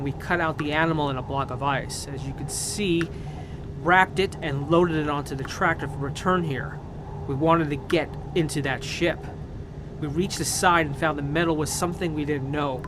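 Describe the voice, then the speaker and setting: An actor playing an earnest male expedition mechanic, deliberately recounting technical findings in a radio drama. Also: on the high side, background noise, lightly acted.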